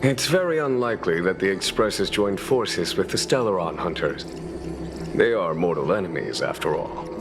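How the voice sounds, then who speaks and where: An actor voicing a genial, shrewd general of knights in a video game, courteous and unhurried. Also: male voice, low-pitched, background noise. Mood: genial, calm. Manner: smooth diplomatic courtesy, relaxed authority. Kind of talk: scripted video game dialogue. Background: background music.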